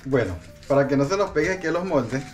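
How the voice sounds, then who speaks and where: A middle-aged man co-hosting a home cooking video, chatty and lively as he reads ingredients and steps. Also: fairly high pitch, fast talker. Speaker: co-host cook. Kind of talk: casual recipe demonstration. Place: home kitchen, indoors. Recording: distant voice under loud music.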